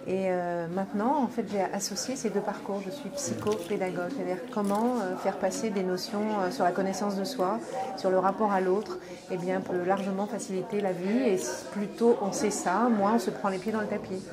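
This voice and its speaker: Middle-aged woman, mid-pitched, chatty storyteller, crowd behind. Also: fairly even intonation, a little fast. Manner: warm storytelling, many fillers. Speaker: psychopedagogue. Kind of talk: vox pop interview.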